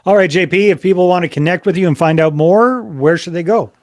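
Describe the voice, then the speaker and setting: A man's voice: sits high, fairly quick, a little mellow. A man hosting a podcast interview, who mostly gives short affirmations and asks leading questions. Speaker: podcast host.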